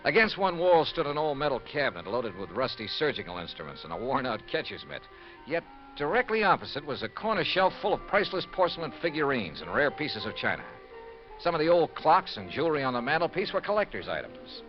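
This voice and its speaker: An actor playing a brisk, wry, impatient insurance investigator, clipped and dry, in the style of 1950s radio detective drama and narration. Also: male voice, fairly high pitch, very animated. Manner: clipped, dry, exasperated with others. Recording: muffled old radio recording. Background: music.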